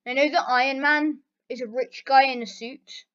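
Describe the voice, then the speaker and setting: British teen girl, high-pitched, rambling casual vlog talk, muffled lo-fi recording. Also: fairly even intonation, normal speed, a bit bright. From Britain. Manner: chatty, rambling, lots of fillers. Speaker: channel host. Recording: dull lo-fi recording.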